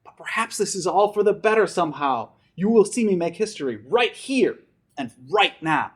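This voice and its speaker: A man's voice: high-pitched, very animated, moderate pace, breathy edge, pitched above the performer's own voice, full character voice. A man voicing a stubborn, belligerent old grandfather who declaims grandly in a children's storybook. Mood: defiant, grandiose. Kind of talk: children's storybook read aloud.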